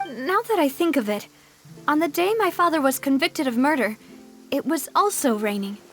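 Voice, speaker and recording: High-voiced, spirited young lady. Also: animated, moderate pace, faint music behind. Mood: pensive. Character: spirited young lady, proud, determined head of an organization. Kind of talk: video game cutscene acting.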